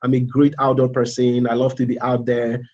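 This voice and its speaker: Man, Nigerian accent, thirties, student talk, many ums and restarts, monotone. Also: mid-range voice, lo-fi sound. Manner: halting, many fillers and repeated words. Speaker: MBA student. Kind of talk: informal live presentation.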